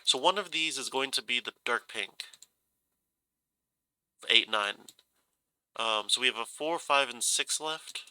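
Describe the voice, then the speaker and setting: A man's voice: mid-range voice, measured pace, long pauses, pushed and bright, smooth, no echo. A man with a stuffy nose and a slightly scratchy voice from a cold, musing hesitantly as he talks himself through a puzzle and corrects himself. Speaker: puzzle solver. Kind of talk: casual thinking-aloud puzzle solving.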